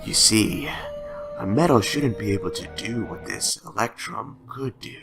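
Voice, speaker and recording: A man voicing a sinister, taunting, lonely madman in an audio drama, with a breathy near-whisper and slow, teasing, theatrical delivery. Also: on the low side. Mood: ominous storytelling. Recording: background music under the voice.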